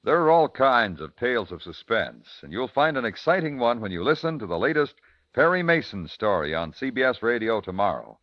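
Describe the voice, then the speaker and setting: A man's voice: mid-range voice, dry recording. An American man announcing a 1950s network radio show in a polished, formal, dramatic scripted style. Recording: dull vintage 1950s radio recording, music behind.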